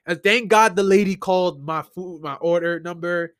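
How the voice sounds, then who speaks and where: A man with a Brooklyn accent and a slightly high voice talks solo in a casual, slangy way. He rants and tells stories with animation, like a podcast host chatting to listeners. The sound is close and dry. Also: moderate pace, dry recording. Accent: Brooklyn New York. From New York City (Brooklyn). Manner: animated, informal, slangy, acts out conversations. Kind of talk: casual solo rant and storytelling.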